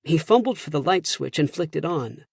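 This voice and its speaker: A man narrates a children's storybook expressively, like a professional audiobook reader. His voice is fairly high and husky, with a gravelly edge, recorded dry and close. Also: lively intonation, normal speed, no room sound.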